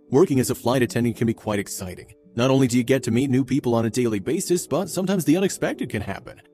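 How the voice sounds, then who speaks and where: Fast-talking male narrator, mellow tone. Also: medium pitch. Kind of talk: scripted viral story narration. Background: faint background music.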